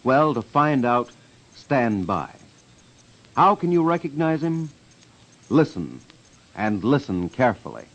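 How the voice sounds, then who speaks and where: A man's voice: mid-range voice, big pitch swings, slow pace, long pauses, a bit bright. An American man hosting a vintage radio crime show, making urgent, emphatic, dramatic appeals to listeners. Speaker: radio host and investigator. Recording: dull vintage radio, music underneath.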